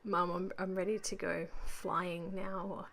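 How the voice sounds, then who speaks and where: Croaky-voiced woman, chatty and rambling. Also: lowish voice, flat delivery, unhurried, fairly bright tone, a little hiss or hum. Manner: chatty, rambling, many ums. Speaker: scrapbooking vlogger. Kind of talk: casual voice-over narration.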